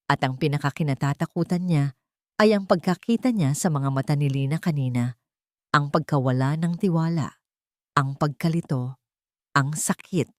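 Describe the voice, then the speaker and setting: A woman narrating a scripted love story in a measured, dramatic reading style. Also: low voice, no echo, clean studio sound.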